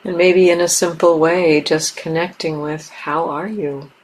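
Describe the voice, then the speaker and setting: A woman leading a guided meditation as a teacher, speaking gently and haltingly in short, softly inviting phrases. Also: sits low, steady tone, poor audio. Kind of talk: guided meditation, unscripted and spoken in pauses. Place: meditation center talk.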